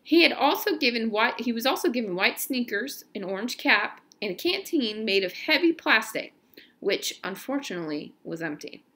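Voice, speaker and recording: A woman reading a children's novel aloud, somewhat haltingly, often stopping to correct herself. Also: fairly high pitch, very animated, pushed and bright. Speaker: read-aloud narrator.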